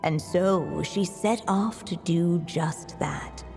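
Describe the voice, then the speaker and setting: A woman narrating a fairy tale in a video game with a measured storyteller cadence. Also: lowish voice, a little mellow. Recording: long hall reverb. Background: faint game music.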